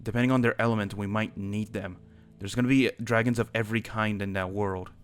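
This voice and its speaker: A man's voice: on the low side, fairly even intonation, brisk, smooth, lightly acted. A man playing an earnest, principled dragon slayer with a criminal past, in an improvised fantasy game roleplay. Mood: thoughtful, earnest. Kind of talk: improvised Minecraft roleplay acting.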